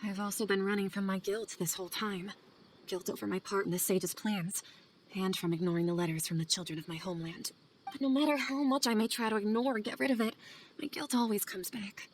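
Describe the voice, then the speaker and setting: A woman's voice: medium pitch, a little fast, natural voice. An actress voicing a weary, anxious female scholar in video game dialogue, earnest, hesitant and confessional in manner. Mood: guilty, weary. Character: guilt-ridden female scholar, weary, anxious assistant to sage. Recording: game audio over clear ambient noise.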